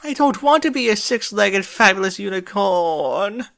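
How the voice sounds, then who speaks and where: A man's voice: high voice, animated, measured pace, vocal fry, fairly bright tone, pitched above the performer's own voice, heavily acted. A man voicing a fussy, ladylike female unicorn pony with a theatrical, genteel manner, in a story reading. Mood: wailing despair.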